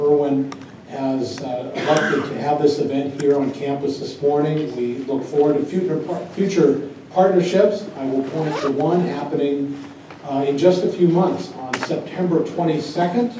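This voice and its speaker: A man's voice: fairly high pitch, noisy background. A man, a university official, giving formal welcome remarks, hesitant and full of filler sounds, with a dry joke. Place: university event hall. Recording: echoey hall, distant mic.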